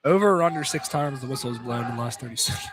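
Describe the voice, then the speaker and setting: A man's voice: medium pitch, very fast, a crowd in the background. A man giving live radio play-by-play of a basketball game, with casual, opinionated asides. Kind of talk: live radio sports commentary. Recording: noisy live gym broadcast.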